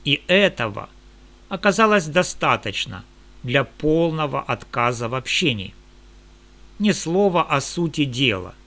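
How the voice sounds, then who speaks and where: A man who works as a lawyer, giving a measured, indignant monologue in formal legal language. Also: on the high side, very animated, pushed and bright.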